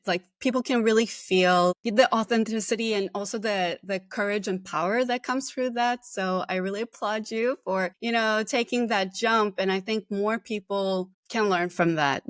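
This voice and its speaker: A woman's voice: mid-range voice, no room sound, clean studio sound. A woman hosting a podcast interview, speaking in a supportive, encouraging way. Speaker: podcast host. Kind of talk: casual interview chat.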